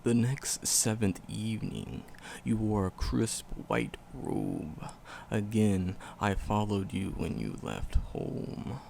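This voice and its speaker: Slow, gravelly ancient forest demon. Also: male voice, fairly low pitch, very animated, warm tone, a little hiss or hum, heavily acted. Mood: sorrowful, regretful. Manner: slow, solemn, archaic phrasing, tender. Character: ancient male forest demon, tender, sorrowful, protective. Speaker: voice actor in audio roleplay. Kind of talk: ASMR roleplay monologue, dramatic storytelling.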